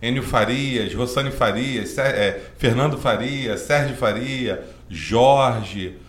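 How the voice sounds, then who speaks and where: A man's voice: medium pitch, takes it slowly. A man, a podcast guest telling his life story in a rambling, earnest way with lots of filler tags. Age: 40s. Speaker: guest, driving school owner. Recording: roomy podcast room.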